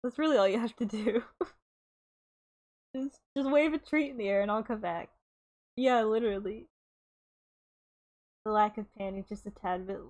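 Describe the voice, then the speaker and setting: High-voiced, playful young-sounding woman streamer. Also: moderate pace, pauses often, a little mellow. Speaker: streamer reading a light novel aloud. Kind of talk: casual livestream readalong and chat.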